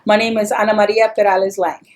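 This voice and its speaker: A woman from El Paso, Texas, tells her story as the interviewee in an oral history. Her speech wanders and doubles back on itself. Her pitch sits in a middling range. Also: level tone, fast. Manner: chatty, rambling, with restarts and laughs.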